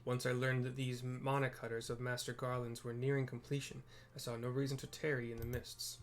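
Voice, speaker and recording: A formal fantasy character, read out by a male player in a medium-pitched voice. The wording is archaic and polite, delivered as video game quest dialogue. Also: flat delivery, fairly quick, slight background noise, close to an everyday voice. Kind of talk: reading game dialogue aloud.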